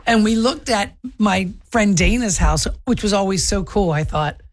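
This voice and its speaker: A woman's voice: mid-range voice, normal speed. A woman co-hosting a radio show, chatting casually and telling stories. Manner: relaxed, storytelling. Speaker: radio co-host. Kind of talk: casual chat.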